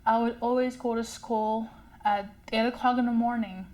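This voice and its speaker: A young woman who stutters, sharing a personal story in a slow, halting way with fillers and repeated sounds. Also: fairly high pitch, fairly even intonation, darkish tone, low-quality recording. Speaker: community member sharing a story. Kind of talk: personal testimony.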